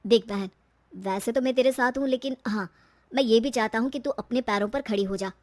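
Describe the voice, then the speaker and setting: A woman's voice: on the high side, fairly quick, a little mellow, dry recording, close to an everyday voice. A woman voicing a supportive adult brother who talks firmly and reassuringly.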